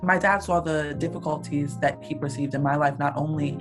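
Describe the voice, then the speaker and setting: A woman sharing a personal story in a reflective, measured way. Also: sits low, fairly even intonation, music in the background. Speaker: panel participant.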